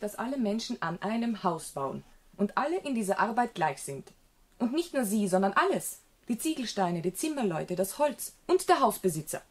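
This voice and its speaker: A woman playing a naive, frank young country gentlewoman in a German radio drama, artless and sure of her own opinions. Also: fairly high pitch. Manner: artless, self-assured, contrary.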